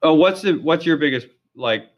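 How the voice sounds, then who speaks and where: A man's voice: a little high, expressive, moderate pace. A man interviewing language learners in a casual, curious and friendly way, with lots of fillers. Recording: dull lo-fi recording.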